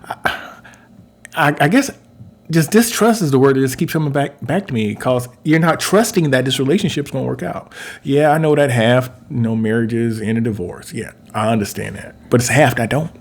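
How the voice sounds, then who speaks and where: A man in his forties co-hosting a relationship talk and working through his ideas out loud. He is polite and easygoing and often stops for "um" and "you know". His voice sits at a middle pitch for a man. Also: moderate pace. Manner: thinks aloud with many fillers, polite. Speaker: relationship coach, co-host. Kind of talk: casual conversational chat.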